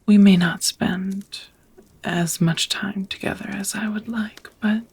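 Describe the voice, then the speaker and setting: Breathy, whispering vampire woman voiced by an actress, slow. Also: medium pitch, fairly even intonation, darkish tone, heavily acted. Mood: tender, hesitant. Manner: slow, hesitant, intimate, slightly old-fashioned phrasing. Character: tender, nervous ancient female vampire confessing love. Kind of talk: whispered ASMR romantic roleplay.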